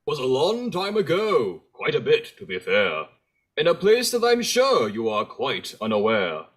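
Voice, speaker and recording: A man playing Santa Claus as a theatrical storybook narrator, speaking in rhyme with a husky voice. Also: mid-range voice, wildly swooping pitch, a little slow, full character voice. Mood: storybook, grave.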